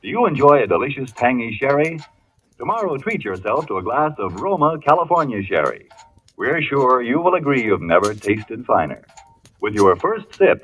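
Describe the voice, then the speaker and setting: A man's voice: medium pitch, a bit bright, slightly rough. An American man reading a polished, persuasive sponsor announcement as a 1940s radio announcer. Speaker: commercial announcer. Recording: dull old radio recording.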